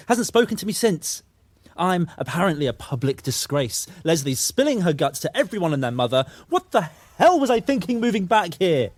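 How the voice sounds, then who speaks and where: A man's voice: mid-range voice, a little mellow, no room sound, natural voice. An actor playing a troubled, self-justifying young man who confesses and makes excuses in a naturalistic audio drama. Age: young adult. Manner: confessional, defensive, sweary.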